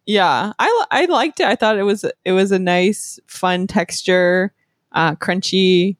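A woman from Canada co-hosting a relaxed food-tasting chat, full of fillers and giggles. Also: medium pitch, measured pace, no echo, clean studio sound. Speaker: co-host tasting candy. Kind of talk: casual chat.

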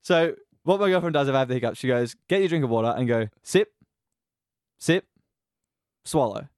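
A man's voice: medium pitch, expressive, fairly quick, a little mellow, clean tone, dry recording, studio quality. A man co-hosting a podcast in casual chat, laughing often and talking to listeners at home. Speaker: podcast co-host.

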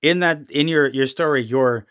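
A podcast host asking questions and reacting casually, with many halting restarts. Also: mid-range voice, a bit bright, no echo, clean studio sound. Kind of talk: casual interview conversation.

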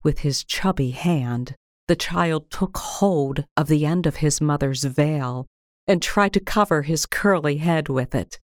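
A woman reads a storybook tale in a low register. Her delivery is slow and gentle, with careful measured phrasing. The sound is dry, with very little room around it. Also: no room sound. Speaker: story reader. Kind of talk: scripted storybook narration.

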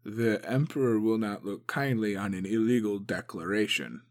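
A man's voice: fairly low pitch, moderate pace, close to an everyday voice. A man voicing a cautious male clan advisor who mumbles and hesitates. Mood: wary. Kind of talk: audiobook character voice.

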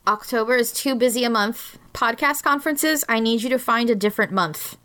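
A woman's voice: a little high, fairly quick, pushed and bright. A woman chatting casually as a guest on a podcast. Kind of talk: casual chat.

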